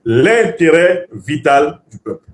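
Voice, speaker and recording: A male political commentator, raised speaking Bassa. His voice sits a little high and swings widely in pitch. He lectures like an orator, emphatic and professorial, hammering points through repetition. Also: sing-song, takes it slowly.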